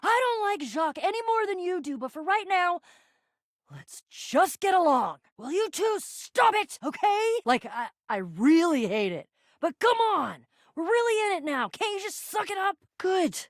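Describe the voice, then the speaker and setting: Excitable, high-voiced American adventurer. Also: female voice, no echo, studio quality. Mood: exasperated, pleading. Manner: theatrical, exasperated, quick to swing between bravado and fluster. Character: excitable, bossy, sarcastic young female adventurer on a quest. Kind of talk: video game voice acting.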